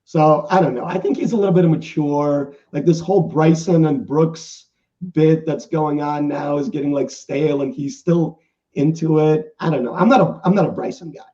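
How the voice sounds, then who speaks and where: A man with a New York accent and a raspy voice, chatting casually as a golf guest, laid-back and rambling. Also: a little high, moderate pace, mellow, distant mic, muffled recording. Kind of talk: casual sports chat.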